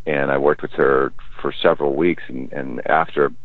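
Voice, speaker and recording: A man who is a musician, talking in a relaxed, thoughtful way and often restarting his sentences in a casual interview. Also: very deep voice, normal speed, dry recording. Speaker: musician guest. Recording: tinny phone line, dry.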